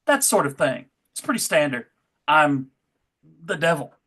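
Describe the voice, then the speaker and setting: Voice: medium pitch, sing-song, unhurried, fairly bright tone, husky, exaggerated voice. An actor playing a pompous, slippery devil in a live comedy table read, given to indignation and bellowing. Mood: smug.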